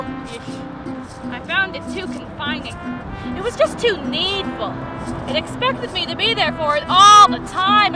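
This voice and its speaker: An actress playing an eccentric street woman who is defiantly proud of her madness, speaking with a raspy voice and rambling as she needles passersby directly in a one-woman stage monologue. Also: very high voice. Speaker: stage actor. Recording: distant voice under loud music.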